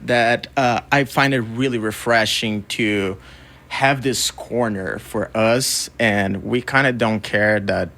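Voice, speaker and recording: A man's voice: fairly low pitch, fairly even intonation, slow pace, bright, forceful tone. A man from Brazil speaking casually as a guest in an interview. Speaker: interview guest, record label co-founder and music photographer.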